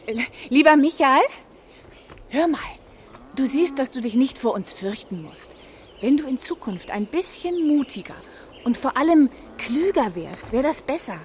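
High-voiced, chatty lady balloonist. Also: animated, a little slow, darkish tone, a little hiss or hum. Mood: encouraging. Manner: chatty, encouraging, explaining to children. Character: cheerful, meddling, helpful female balloonist and adventurer. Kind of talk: children's audio play acting.

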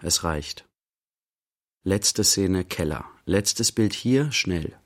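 An actor playing a detached observer who recites clipped, descriptive fragments in a literary radio play. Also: male voice, low voice, animated, drawn-out words, full character voice. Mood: detached, observing. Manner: clipped, staccato phrases. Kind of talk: literary radio play, spoken stage directions.

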